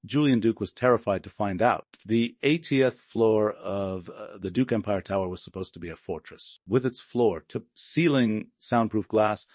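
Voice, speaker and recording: A man narrates dramatic fiction in a low-pitched voice, captured dry and close with no room around it. He delivers the scripted prose as an audiobook storyteller. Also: normal speed, few pauses, darkish tone, dry recording.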